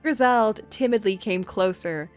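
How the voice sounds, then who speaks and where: A woman's voice: medium pitch, normal speed, smooth, soft background music. A woman livestreamer reading a visual novel aloud, moving between story narration and casual commentary.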